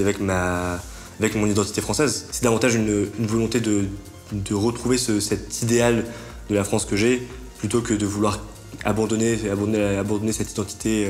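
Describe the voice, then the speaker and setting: A young man in his twenties speaks carefully in a documentary interview, often searching for the right word. His voice is low and very flat, with faint music underneath. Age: 20s.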